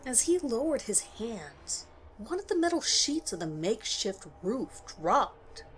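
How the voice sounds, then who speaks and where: A woman reading a scripted scary story as narrator, in a measured, dramatic way with pauses. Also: a little high, big pitch swings.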